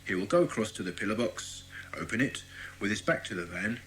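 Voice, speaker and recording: An actor playing a friendly, obliging British police inspector in a children's audio drama, courteous and explanatory. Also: male voice, fairly low pitch, lively intonation, fairly quick, over a noisy crowd, close to an everyday voice, poor audio. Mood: confidential, explanatory.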